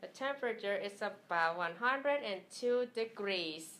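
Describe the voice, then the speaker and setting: A woman playing a hot, thirsty woman in an amateur scripted radio commercial, speaking deliberately. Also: mid-range voice, expressive, a bit bright. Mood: stagey, sweltering.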